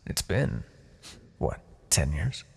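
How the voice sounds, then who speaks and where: A man playing a smug, seductive, possessive Demon King in a fantasy ASMR roleplay, with a gravelly, breathy voice and theatrical, taunting delivery. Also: a bass voice, very animated, heavily acted. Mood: taunting, intimate. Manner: theatrical, taunting, drawn-out phrasing with soft asides.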